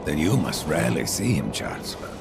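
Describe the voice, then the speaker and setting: Grim death horseman from a game, super deep gravelly voice, dry sarcastic menace, loud music behind. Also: very animated, a little fast, full character voice. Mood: sardonic. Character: grim male horseman of death, cold and defiant. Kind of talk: video game cutscene acting. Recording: loud music under the dialogue.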